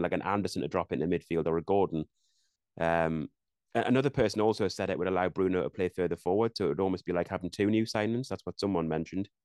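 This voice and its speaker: Man, young adult, English accent, low voice, fast and chatty, dry close sound. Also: darkish tone, no echo. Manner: fast, chatty, thinks aloud with restarts. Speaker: podcast co-host. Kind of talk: casual football chat.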